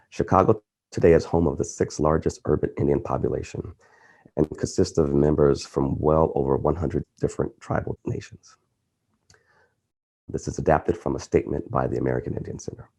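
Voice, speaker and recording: A man reading out a prepared statement in a steady way. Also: extremely low pitch, a little fast, gravelly.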